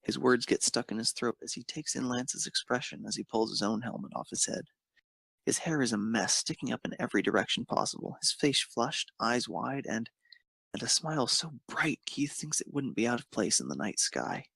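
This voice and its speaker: A man's voice: mid-range voice, expressive, normal speed, creaky, a bit bright, husky, no room sound. A man narrating a piece of fan fiction, reading it aloud.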